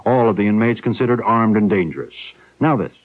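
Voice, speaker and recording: A man's voice: on the low side, expressive, fairly quick, phone-quality sound. American male radio newsreader, thin broadcast sound. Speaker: radio news anchor. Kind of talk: scripted news reading.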